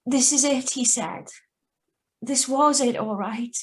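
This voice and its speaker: A woman acts out a guilt-ridden young woman hiding a secret in a radio play. Her voice is high and her delivery unhurried, with an anguished, pleading manner. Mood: wistful. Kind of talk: live radio drama reading with first-person narration.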